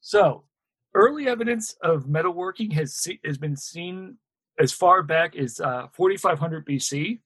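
A man riffs on a casual podcast, crude and joking. His voice is breathy and husky. His pitch moves around a lot as he rambles through the facts. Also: animated, measured pace, a bit bright. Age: 30s. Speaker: podcast co-host.

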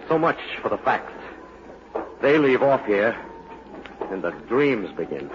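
An actor playing the haunted, brooding son of a mad sea captain in a dramatic, insinuating 1940s radio style. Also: male voice, mid-range voice, big pitch swings, measured pace. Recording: muffled, hissy 1940s radio broadcast.

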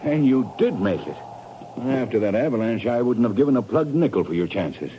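Man for outspoken, indignant professor, animated delivery, noisy vintage radio drama. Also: medium pitch, a little fast, lo-fi sound, natural voice. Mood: surprised. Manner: blunt, outspoken. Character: blunt, indignant male professor stranded on a mountain. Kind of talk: 1950s radio drama acting. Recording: noisy 1950s radio recording.

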